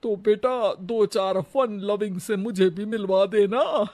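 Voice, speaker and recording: A man voicing a homely, plain-spoken older mother-in-law, warm but proud, in an animated moral story. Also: high-pitched, slow. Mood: bored, hopeful.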